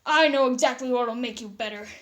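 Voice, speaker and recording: A woman's voice: a little high, brisk, a bit bright, close to an everyday voice. A woman voicing a joking, affectionate male skeleton in a playful storybook read-aloud. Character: joking, affectionate male skeleton, excitable among old friends.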